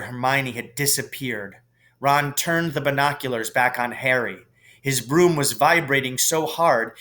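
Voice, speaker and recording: A man reading a children's novel aloud as expressive narration. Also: fairly high pitch. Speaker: reader.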